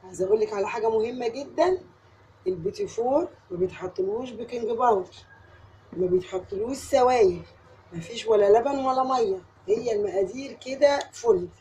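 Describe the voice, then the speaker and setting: A woman's voice: fairly high pitch, fast talker, dark tone, slight background noise, poor audio. A woman with an Egyptian accent and a voice tired from a cold, chatting step by step to the viewer as she cooks at home. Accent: Egyptian Arabic. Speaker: home cook. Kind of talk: cooking tutorial. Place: home kitchen.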